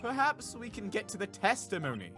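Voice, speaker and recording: Man playing a pompous courtroom official, wildly animated. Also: high-pitched, a little fast, soft background music, voice pushed up, exaggerated voice. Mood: formal. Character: theatrical, formal male courtroom lawyer and judge.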